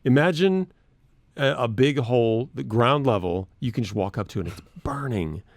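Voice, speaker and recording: A man's voice: medium pitch, expressive, normal speed, no room sound. A man hosting a radio show, chatting casually in a studio, laughing easily and telling stories.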